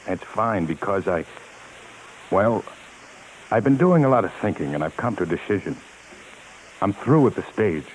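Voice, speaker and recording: A man's voice: on the low side, expressive, normal speed, pauses often, slight background noise, lightly acted, poor audio. A man playing a proud but dejected failed vaudeville performer, earnest and self-doubting in 1950s radio drama style. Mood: resolved. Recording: old radio broadcast recording, music behind.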